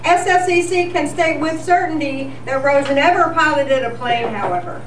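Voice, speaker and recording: A woman's voice: sits high, normal speed. A woman hosting a live show as a radio news reporter, reading scripted old-time radio news in a senior center hall. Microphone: picked up from far off. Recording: roomy, noisy, distant live event recording.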